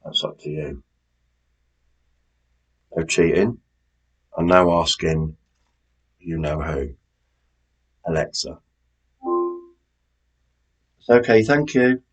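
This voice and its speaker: Man, quiz host, low-pitched, rough husky tone, measured question reading, informal. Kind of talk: quiz reading, questions and multiple-choice answers.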